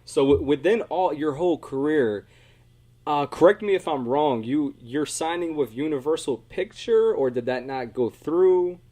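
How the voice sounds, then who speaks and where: A man's voice: a little high, moderate pace, warm tone. A man from the Philadelphia area hosting a podcast, asking questions in a casual, slangy way. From United States, Philadelphia area. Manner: slangy, informal. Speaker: podcast host. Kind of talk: casual interview.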